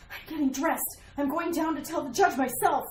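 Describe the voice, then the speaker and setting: Very high-pitched, frightened wife played by a woman. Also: moderate pace, natural voice. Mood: pleading. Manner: anxious, imploring, melodramatic. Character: frightened, pleading wife kept confined at home. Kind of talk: retro radio drama acting. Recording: roomy echo, faint noise.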